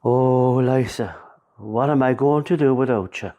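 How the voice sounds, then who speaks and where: A man's voice: mid-range voice, measured pace, dark tone, a little hiss or hum, natural voice. Actor as grumpy, grieving older Irish father. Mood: grieving, wry. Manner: gruff, sardonic, sweary. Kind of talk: radio play acting.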